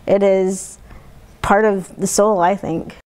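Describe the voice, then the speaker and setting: A woman's voice: medium pitch, steady tone, slow pace, a little mellow. A woman, talking reflectively in an interview as a music therapist. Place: indoor room.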